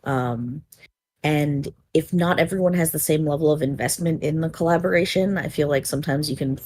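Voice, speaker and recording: A woman with a husky voice, talking casually as a podcast panelist and pausing to repeat words as she thinks. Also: sits low, darkish tone, dry recording, clean studio sound. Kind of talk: casual discussion.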